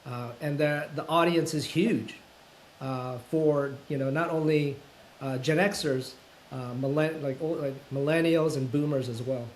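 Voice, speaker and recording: A man in his fifties with a voice pitched a little above most men's, talking in a relaxed interview. He speaks thoughtfully, often pausing on fillers and restarting sentences, with the careful manner of a self-described introvert. A faint hiss sits under his voice. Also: rough recording. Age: 50s. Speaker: guest, full-time content creator. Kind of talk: casual interview conversation.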